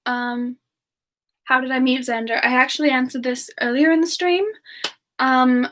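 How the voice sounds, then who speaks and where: A woman playing a sweet, affectionate young female frost demon, soft-spoken and hesitant, answering questions in a semi-ASMR live stream. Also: fairly high pitch.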